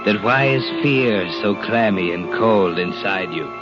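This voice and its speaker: An actor playing a sinister, taunting narrator of a 1940s radio suspense drama. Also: male voice, lowish voice, expressive, a little slow. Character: sinister, taunting all-knowing male storyteller. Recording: muffled 1940s radio with loud music. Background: dramatic music.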